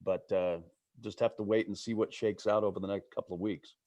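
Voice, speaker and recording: A man with a low voice talks casually as a guest on a video call, like a sportscaster off the air. The sound is close and dry, with very little room. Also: flat delivery, a little fast, dark tone, no echo, dull audio. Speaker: baseball play-by-play broadcaster as guest. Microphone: video call microphone.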